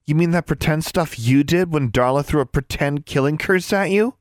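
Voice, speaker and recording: A man's voice: fairly high pitch, normal speed, no room sound, clean studio sound, voice pushed up, close to an everyday voice. A man voicing an exasperated, anxious young schoolgirl who speaks with sarcastic indignation in a storybook. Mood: scornful.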